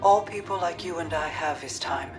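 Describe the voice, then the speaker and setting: An actress playing a weary, regal queen in a video game, reflective and grieving, telling things in a measured, storytelling way. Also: fairly low pitch, far from the mic, over music, close to an everyday voice. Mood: wistful, weary. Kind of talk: dramatic video game dialogue.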